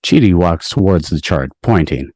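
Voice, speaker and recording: Very deep-voiced man reading stage directions. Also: animated, normal speed, a little mellow. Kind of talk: scripted narration for table read.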